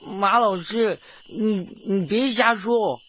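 Shy teenage schoolboy, stammering, slurred slow speech, muffled noisy recording. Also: male voice, high-pitched, fairly bright tone. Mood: flustered, embarrassed. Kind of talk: Chinese radio drama acting. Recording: dull lo-fi sound with background noise.

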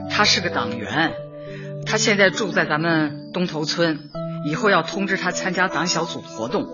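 A woman playing a warm older community Party secretary in a radio drama, chatty and homely, often chuckling and repeating herself. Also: fairly low pitch, expressive, moderate pace, highs cut off, music in the background, close to an everyday voice. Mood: attentive, brisk. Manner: chuckling, hesitant fillers, repeats words in homely colloquial talk.

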